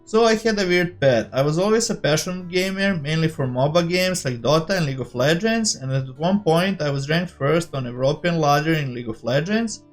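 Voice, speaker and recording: A man with a slightly high voice and a Serbian accent, a native Serbian speaker, hosts an online channel. He delivers a prepared introduction straight to his audience. Faint music plays behind him. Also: animated, fairly quick, few pauses. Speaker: host of a cryptocurrency investing channel. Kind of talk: scripted introduction to camera.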